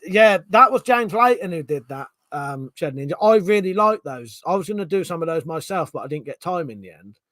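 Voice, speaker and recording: Middle-aged male streamer, casual and sweary, animated pitch, dry close mic. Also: brisk, few pauses, no room sound. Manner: chatty, swears casually, restarts sentences. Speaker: streamer reading chat. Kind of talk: casual live-stream chat.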